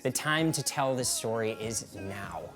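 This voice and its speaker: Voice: medium pitch, expressive, a little slow, fairly bright tone. Confident voice-over over guitar music. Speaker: filmmaker. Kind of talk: promotional voice-over.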